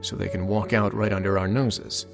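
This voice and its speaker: A man playing a composed, shrewd authority figure in an anime game, measured and dryly questioning. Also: lowish voice, flat delivery. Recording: clear music behind.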